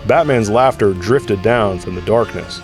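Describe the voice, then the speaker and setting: Male narrator, fairly low voice, scripted audio drama storytelling, music underneath. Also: unhurried.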